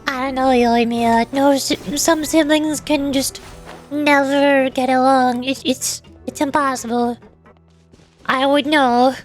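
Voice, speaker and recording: A woman's voice: high-pitched, steady tone, slow pace, faint music behind. A woman streamer giving chatty, casual commentary as she plays a game. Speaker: streamer, VTuber.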